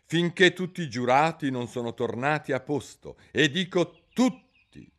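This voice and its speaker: A man voicing a pompous old king presiding over a trial, in a loud, blustering, declamatory manner. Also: a little high, animated, a little slow, voice pushed up.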